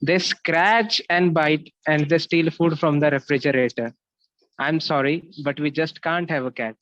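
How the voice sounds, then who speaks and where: A man's voice: fairly high pitch, measured pace, fairly bright tone, no echo, highs cut off. A man voicing a busy father who firmly refuses his son a pet, in a reader's theatre reading. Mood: dismissive.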